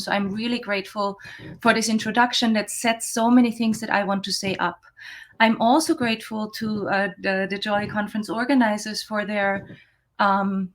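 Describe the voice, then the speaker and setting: Woman, keynote over video call, earnest and emphatic, lots of restarts. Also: mid-range voice. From Austria. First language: German. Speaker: keynote speaker. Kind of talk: academic keynote address. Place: remote, online conference.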